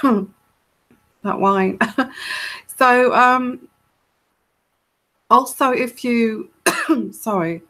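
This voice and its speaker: Woman, soft soothing voice, unhurried, meandering casual chat, live tarot Q&A host. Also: medium pitch. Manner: rambling, hesitant, many fillers and restarts. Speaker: tarot reader hosting a live Q&A.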